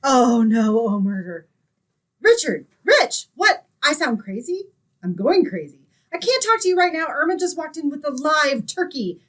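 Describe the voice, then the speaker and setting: A woman's voice: sits high, sing-song, measured pace, fairly bright tone, exaggerated voice, poor audio. A woman playing a sensible, long-suffering young roommate in an old-time radio comedy, with a dramatic, wry manner. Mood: frantic, exasperated.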